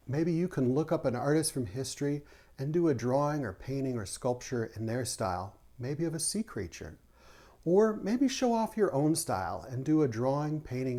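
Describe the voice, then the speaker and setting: A man's voice: mid-range voice, dark tone. A man who is an author and art teacher, reading a rhyming children's picture book aloud and adding short teacherly explanations. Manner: rhythmic reading of rhyming verse, teacherly asides.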